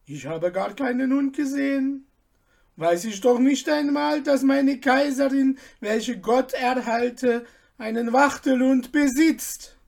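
A shrewd merchant speaks in a raised, measured voice, as a storyteller puts him on, laying out every detail with quiet mockery. He is unhurried and sly. The storybook reading moves slowly. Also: high voice, creaky, voice pushed up, heavily acted. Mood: innocent. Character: sly shrewd middle-aged male merchant.

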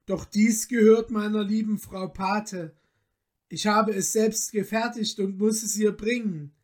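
A woman storyteller plays a modest, noble-hearted young man from a storybook. He talks very slowly and earnestly. His phrasing is polite, formal and old-fashioned. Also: medium pitch, fairly even intonation, darkish tone, natural voice. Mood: courteous, firm.

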